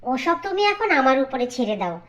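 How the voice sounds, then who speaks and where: A woman's voice: high voice, sing-song, brisk. A woman voicing a spoiled, scheming young sister-in-law in a cartoon, demanding and whiny. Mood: scheming, confident. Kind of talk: Bengali cartoon acting.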